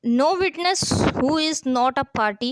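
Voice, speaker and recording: A woman's voice: high-pitched, lively intonation, drawn-out words, clear, resonant tone, no room sound, poor audio. A woman lecturing in a didactic way, explaining and reading aloud as a teacher. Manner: didactic, poses questions and answers them herself. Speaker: law lecturer.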